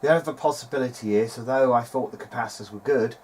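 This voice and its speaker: A man's voice: medium pitch, fast talker, darkish tone. A man giving casual, unscripted commentary as a hobbyist at his workbench, hesitant and tentative as he thinks aloud. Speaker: hobbyist video maker repairing vintage audio gear. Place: home workbench, speaking behind a handheld camera. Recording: clearly noisy amateur home recording.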